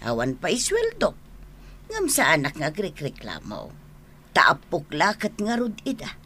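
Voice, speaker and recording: A woman's voice: lowish voice, wildly swooping pitch, unhurried, a bit bright, breathy edge, exaggerated voice. A woman acting a worried mother in an Ilocano radio drama, plaintive and admonishing, with an Ilocano accent. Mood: plaintive reproach. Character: worried, lamenting mother pleading with her wayward grown son.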